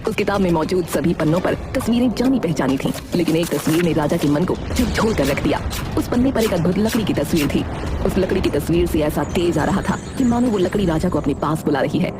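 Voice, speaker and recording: Lively woman storyteller over music. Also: fairly low pitch, fairly quick. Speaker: narrator. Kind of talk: scripted storybook narration.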